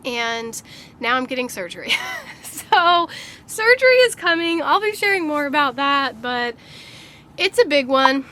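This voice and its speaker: A woman's voice: sits high, very animated, measured pace, pushed and bright. A woman, a mother of three, chatting candidly to camera in a casual vlog, slightly congested and nasal, laughing often.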